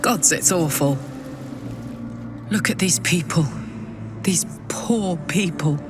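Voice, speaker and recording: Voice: medium pitch, music in the background. An actor playing a horrified, grieving onlooker in a video game. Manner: slow, appalled.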